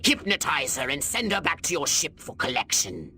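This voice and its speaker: A man's voice: a little high, wildly swooping pitch, normal speed, pushed and bright, a little hiss or hum, full character voice. A man voicing a scheming, condescending alien commander in a video game, with pompous, theatrical delivery. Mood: exasperated, instructing. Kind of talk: video game cutscene acting.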